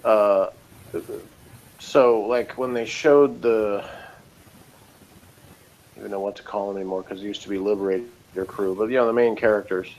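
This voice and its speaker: Low-pitched man, faint background noise, casual opinionated chat, rambling phrasing. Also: fairly even intonation, moderate pace, long pauses, darkish tone, rough recording. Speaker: review show co-host.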